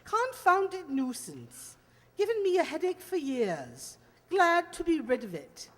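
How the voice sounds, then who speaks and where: Woman doing a posh hearty society lady in a radio play, super high voice, big echo. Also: sing-song, takes it slowly, full character voice. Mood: brisk, bossy. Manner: grand, exclamatory society talk. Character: blustery upper-class English lady, hearty and exclaiming. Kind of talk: amateur radio play acting. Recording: reverberant hall-like radio play recording.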